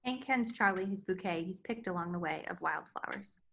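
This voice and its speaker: A woman's voice: lowish voice, expressive, moderate pace, vocal fry, bright, forceful tone. A woman narrator reading screenplay stage directions as scripted narration.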